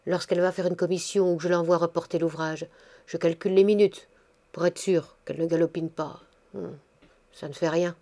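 A woman voicing a gruff but kindly old woman neighbor who fusses and takes charge in a bossy, brusque manner. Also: lowish voice, moderate pace, a little mellow, natural voice. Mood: muttering.

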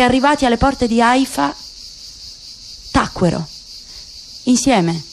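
A woman narrates a literary radio drama in a measured, expressive way. Her voice sits somewhat high. A faint hiss lies behind her.

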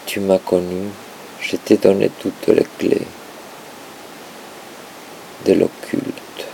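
A man recites poetry in a low, heavily creaking voice. He breaks the verse into short, dramatic fragments with halting gaps between them. A faint rumbling hiss sits under the recording. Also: flat delivery, mellow, rough recording. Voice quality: gravelly, creaky. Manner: halting, dramatic delivery in short broken phrases. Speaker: poetry translator and performer. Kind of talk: performative poetry reading.

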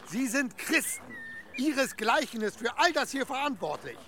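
A man's voice: very high voice, very animated, normal speed, full character voice. An actor playing a hot-headed, domineering village headman in a radio drama, speechifying with scorn. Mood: rousing, scornful. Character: hot-headed village rabble-rousing father, bigoted new headman.

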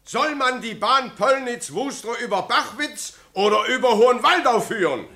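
An actor in a 1970s German radio play, playing a brisk, insistent questioner at a political meeting. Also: male voice, very high voice, animated, pushed and bright, full character voice.

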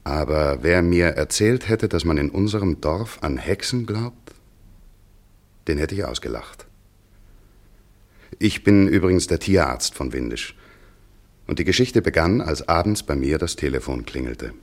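An actor playing a middle-aged village vet and father in a German radio play, measured and matter-of-fact, patient with children. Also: extremely low pitch, expressive, full character voice. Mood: reflective, wry.

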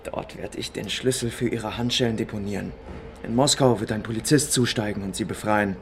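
Actor as a smug, spiteful Soviet officer. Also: male voice, on the low side, brisk, lightly acted. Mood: mock-polite, gloating. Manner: mock-polite, gloating, sarcastic. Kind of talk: German hardboiled radio crime drama acting.

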